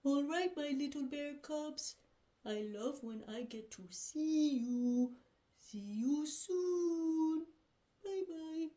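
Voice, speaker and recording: A woman voicing a friendly, forgetful Papa Bear who gently teaches small children, talking slowly. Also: high-pitched. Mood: gentle. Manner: slow, gentle, instructive.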